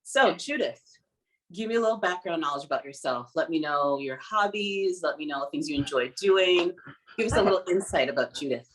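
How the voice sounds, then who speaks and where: A woman's voice: low-pitched, expressive, a little fast, slight background noise, poor audio. A woman hosting a talk show, friendly and encouraging as she introduces her guests. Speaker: podcast host.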